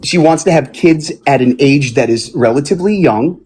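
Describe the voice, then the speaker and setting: A man hosting a casual live-streamed panel debate, animated and given to loud exclamations of disbelief. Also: fairly high pitch, moderate pace, slight background noise. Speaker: panel host. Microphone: phone or computer mic on a live stream.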